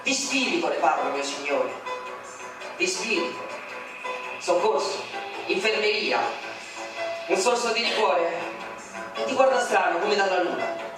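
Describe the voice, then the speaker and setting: A man's voice: high voice, animated, a little slow, long pauses. A man giving a theatrical poetry recitation, declaiming with indignation. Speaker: poetry reader. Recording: very echoey stage recording from far off, music behind.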